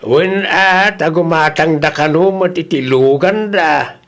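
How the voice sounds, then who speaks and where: A man's voice: a little high, takes it slowly, a bit bright. A man playing an old father in an audio drama, nosy and complaining, with grumbling exclamations. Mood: reporting.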